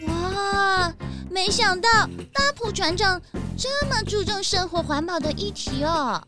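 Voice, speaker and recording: A woman playing a cutesy, excitable young female celebrity with a girlish, gushing manner in a children's audio drama. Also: very high voice, measured pace, over loud music. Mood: bubbly.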